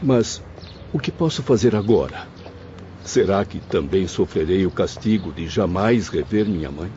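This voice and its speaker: A man's voice: low voice, expressive, measured pace, warm tone, a little hiss or hum. A man playing a grieving spirit, a former professor longing for his mother, speaking in an anguished, pleading way in a dramatized audiobook. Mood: pleading sorrow. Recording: dull lo-fi audio with music behind.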